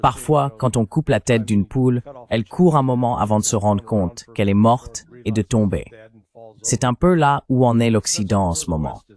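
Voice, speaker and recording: A man reading translated political commentary in a measured, explanatory way, as a voice-over. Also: fairly low pitch, expressive, a little mellow. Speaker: panel commentator.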